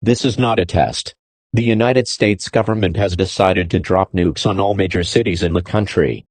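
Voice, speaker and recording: Low-pitched man reading emergency alerts. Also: moderate pace, no room sound. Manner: formal, terse official delivery. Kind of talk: scripted emergency broadcast announcement.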